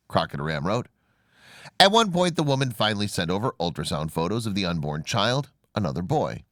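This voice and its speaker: A man's voice: on the low side, fairly bright tone, no echo, studio quality. A man narrating a scripted human-interest story with a polished, dramatic storytelling delivery.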